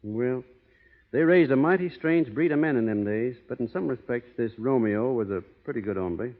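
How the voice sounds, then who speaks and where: An actor playing a plainspoken, stubborn, honorable cowboy with a drawling American Western accent in an old radio western. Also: male voice, mid-range voice, normal speed, narrow, tinny audio, lightly acted. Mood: plainspoken, wry. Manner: drawling folksy cowboy talk, understated. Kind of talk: 1930s-40s radio western acting. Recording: boxy old radio recording, hall reverb.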